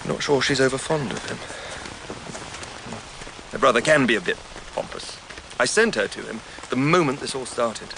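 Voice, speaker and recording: An actor playing a weary, educated Edwardian writer, hesitant and wry, in a radio drama. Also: male voice, mid-range voice, normal speed, gravelly, sharp tone, a little hiss or hum, low-quality recording. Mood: anxious, hopeful. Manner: hesitant, wry, politely exasperated.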